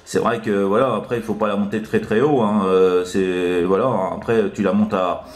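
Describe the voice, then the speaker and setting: A man chatting casually as a CB radio operator, halting and repetitive. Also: lowish voice, fairly even intonation. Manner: halting, repetitive, full of verbal tics. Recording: roomy, echoing room sound.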